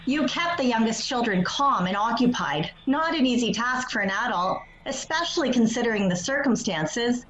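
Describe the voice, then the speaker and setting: An echoey, dull recording with a crowd behind has a woman acting a proud, tender mother in a radio drama. Her voice is fairly high. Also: expressive, moderate pace, few pauses, close to an everyday voice.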